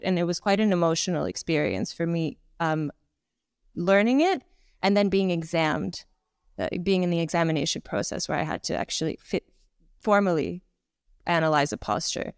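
A woman in her thirties with a Canadian accent, a Pilates instructor chatting as a guest on a video call, talking with lively gestures and lots of fillers. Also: fairly low pitch, clean tone, no echo, clean studio sound. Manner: chatty, animated hand gestures, frequent fillers. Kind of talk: casual conversational interview. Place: home room, indoors.

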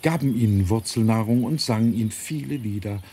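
Mellow, low-voiced male storybook narrator. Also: fairly quick, slightly rough. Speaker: audiobook narrator. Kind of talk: scripted children's storybook narration. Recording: nature ambience and birdsong behind voice. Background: birds, forest ambience.

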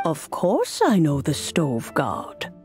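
Give this fantasy game role to a serene old woman, a wise storyteller. She takes her time over every phrase, reflective and kindly. Her lowish voice moves with expressive swings. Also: soft background music, heavily acted. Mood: warm, amused. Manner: unhurried, gently chuckling, reflective. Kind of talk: scripted fantasy game dialogue.

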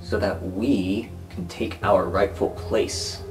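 Supervillain headmaster for tabletop game man acting: low voice, calm, condescending, grandiose, light music behind. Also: slow, low-quality recording. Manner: smooth-talking, grandiose, condescending. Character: calm, megalomaniacal male supervillain academy headmaster.

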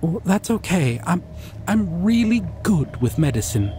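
A man voicing a shy, caring male dragon in an ASMR roleplay, talking softly and reassuringly. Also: sits high, big pitch swings, a little hiss or hum. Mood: gentle. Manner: soft, reassuring, slow and hesitant. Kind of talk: ASMR roleplay audio drama.

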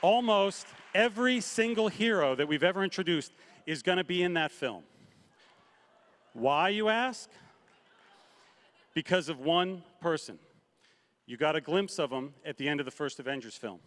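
A man's voice: fairly high pitch, animated, normal speed, pauses often. Man hyping a convention crowd. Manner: announces names with rising, shouted emphasis. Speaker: studio head presenting a convention panel. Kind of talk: stage introductions to a cheering crowd. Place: large convention hall stage. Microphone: handheld microphone.

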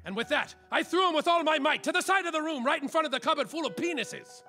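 A man acts out a hard-boiled, world-weary private eye with a gravelly edge. His high voice swings around a lot as he grandly narrates a slapstick noir radio play. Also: animated, quick pace, music in the background. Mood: heated. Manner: melodramatic, self-important.

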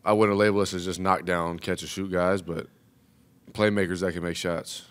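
A young man, a college basketball player, answering questions at a postgame press conference, relaxed and full of "you know". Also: low voice, moderate pace, clear, resonant tone. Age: young adult. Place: press room, seated at table. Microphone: table mic.

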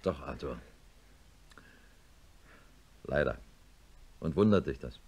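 An actor playing a middle-aged neurosurgeon in a German radio play, speaking in a measured, matter-of-fact and insistent way as he reasons and narrates. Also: rumbling low, lively intonation, darkish tone, breathy edge, full character voice. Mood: blunt, regretful. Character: sober male neurosurgeon, blunt old friend, narrating his story.

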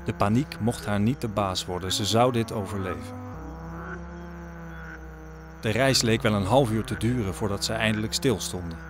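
Male narrator for a radio-play tale, lowish voice, clear music bed. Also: moderate pace, pauses often. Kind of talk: scripted storytelling narration in an audio drama. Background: music under the voice.

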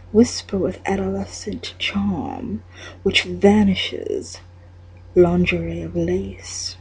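A woman reading a poem aloud, mostly in a soft whisper, drawing her words out. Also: fairly low pitch, breathy edge, low-quality recording. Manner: soft whispering, slow and drawn out.

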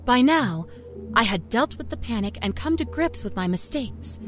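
A woman's voice: fairly high pitch, animated, normal speed, natural voice. A woman playing a cold, matter-of-fact young small-town murderess, telling her horror story in a conversational, wry way. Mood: calculating, wry. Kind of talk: first-person dramatic horror story narration. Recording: music under the voice.

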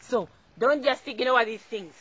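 A young woman with a Ugandan accent hosts an informal instructional vlog. Her voice is fairly high and very bright, with a forceful edge. She is encouraging and emphatic, often repeating phrases to drive a point home. The outdoor camera recording is slightly dull and lo-fi. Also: a little slow, breathy edge. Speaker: farmer and video host. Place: small outdoor home garden.

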